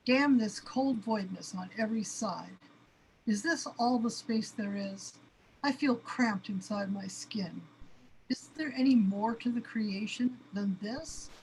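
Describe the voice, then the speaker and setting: A high, anguished voice from a woman actor plays an overwhelmed cosmic being in a radio drama. The being panics and questions everything around it. The recording carries noticeable background noise. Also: slow, a little mellow, rough recording. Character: overwhelmed, panicky cosmic being trapped in its own space body. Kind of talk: dramatic radio play acting.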